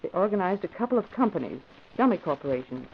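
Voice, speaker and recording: Wry, lively-voiced secretary. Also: female voice, fairly low pitch, fast talker, gravelly, slightly rough, heavily acted. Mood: wry, reading. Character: wry, capable female newspaper secretary. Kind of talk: old-time radio drama acting. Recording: dull, noisy vintage radio recording.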